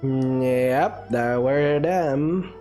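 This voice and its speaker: A woman casually commentating on her video game. Her voice is exceptionally deep for a woman, and her delivery is laid-back and wry, with faint music behind. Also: drawn-out words, dark tone. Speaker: gamer commentating on playthrough. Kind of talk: casual let's play commentary.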